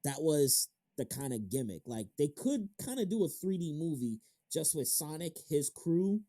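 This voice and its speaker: A man's voice: on the high side, big pitch swings, normal speed, mellow, dry recording, studio quality. A thirty-year-old man hosting an interview as a casual chat, informal and slangy with some casual swearing. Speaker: interview show host. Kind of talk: casual chat about games and films.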